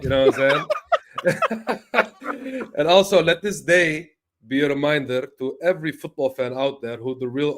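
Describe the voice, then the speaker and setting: A man with a mid-pitched voice joins a casual football chat as a guest, talking in a cheerful, relaxed way and often tagging on "you know what I'm saying". His talk is loose and conversational. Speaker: podcast guest.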